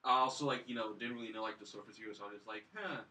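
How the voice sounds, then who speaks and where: A man's voice: on the low side, very fast, sharp tone, far from the mic, a little hiss or hum. A young man chatting casually as a film review panelist, hesitant and often repeating himself. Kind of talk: casual chat.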